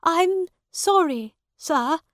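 Anxious, soft-hearted storybook mother, acted by a woman reader, high voice, very slow pleading. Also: no room sound, studio quality. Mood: begging. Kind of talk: storybook character dialogue.

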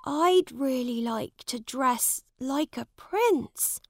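A woman's voice: high voice, expressive, drawn-out words, no echo, voice pushed up, exaggerated voice. A woman voicing a poor young storybook boy who is polite, honest and earnest. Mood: cheerful, open. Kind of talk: storybook character voice.

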